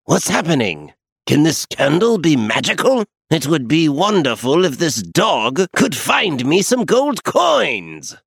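A man's voice: a little high, sing-song, slow, vocal fry, slightly rough, no room sound, studio quality, pitched above the performer's own voice, full character voice. A man voicing an eager, wishful poor wanderer in a fairy tale, given to exclaiming. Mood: hopeful wonder. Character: eager, lovestruck poor male wanderer, excitable dreamer.

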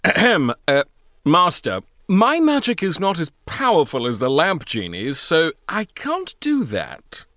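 A woman's voice: low voice, wildly swooping pitch, a little slow, a bit bright, no room sound, clean studio sound, exaggerated voice. A woman voicing an apologetic giant male genie in a fairy tale, hesitant and deferential. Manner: hesitant, throat-clearing, deferential.

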